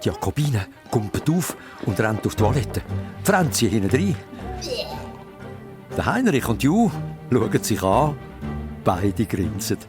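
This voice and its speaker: Animated Swiss German male narrator. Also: on the low side, measured pace, pauses often. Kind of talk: animated children's story narration. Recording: music behind the voice.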